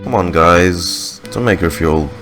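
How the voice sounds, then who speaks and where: A woman's voice: a bass voice, measured pace. A woman giving casual reaction commentary as a streamer while playing a game. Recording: game music behind.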